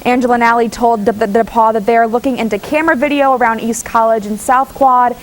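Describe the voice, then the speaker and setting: A woman with a fairly high voice reports news in a studio. Her delivery is measured and formal, like a student reporter presenting a prepared story. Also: fairly even intonation, slight background noise.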